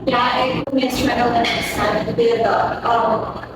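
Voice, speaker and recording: A woman's voice: a little high, level tone, slow pace. A young woman with a Filipino accent giving a formal, recited panel presentation with occasional stumbles. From Philippines. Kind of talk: prepared presentation on a student panel. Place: large echoey room, far from mic. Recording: very echoey hall, far mic, loud noise.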